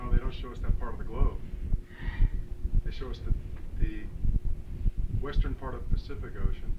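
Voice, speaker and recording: A man's voice: mid-range voice, steady tone, moderate pace. A man giving a tentative, impromptu technical explanation, thinking aloud as he goes. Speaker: audience member consulted on a diagram. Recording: distant, muffled, very noisy cassette.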